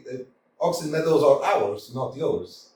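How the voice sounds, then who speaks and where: A man voicing a nervous, pedantic young gentleman suitor in a staged reading of a stage comedy, stammering and fussily formal. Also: mid-range voice, expressive, measured pace, close to an everyday voice. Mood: flustered. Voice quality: stammering, hesitant, fussy formality. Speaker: community theater actor. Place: small community theater. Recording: echoey room, from far off, faint noise.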